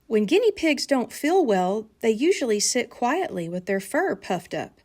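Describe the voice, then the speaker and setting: Storybook primary teacher, read by a woman: gentle, patient, explanatory, lively pitch movement. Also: a little slow. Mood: reassuring, explaining. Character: gentle, reasoning female primary school teacher.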